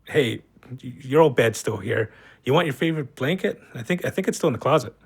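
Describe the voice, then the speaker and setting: A man's voice: mid-range voice, animated, fairly quick, husky. An actor playing an awkward, kindly male cousin in an audio drama, with a hesitant, colloquial way of talking.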